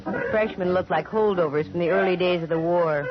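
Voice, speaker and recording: An American actress playing a wry, sharp-tongued female private investigator in 1940s radio style, delivering dry wisecracks and narration. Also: on the low side, natural voice. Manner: dry, sardonic, quick with wisecracks. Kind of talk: 1940s radio acting with first-person narration. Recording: muffled vintage radio broadcast with music.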